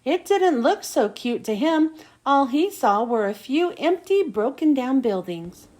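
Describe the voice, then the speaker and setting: A woman reading a children's storybook aloud as an expressive narrator. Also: high-pitched, a little slow. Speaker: storybook reader.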